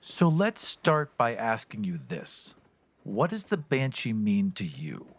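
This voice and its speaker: Very low-voiced woman interviewer. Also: big pitch swings, slow pace, dry recording. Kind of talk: interview questions.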